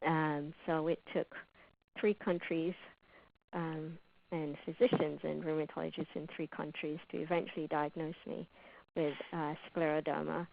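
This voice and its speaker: A woman's voice: sits low, normal speed, vocal fry. Woman from Uganda on a tinny line. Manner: relaxed, thoughtful, many fillers. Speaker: patient and public health specialist. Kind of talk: conversational webinar talk.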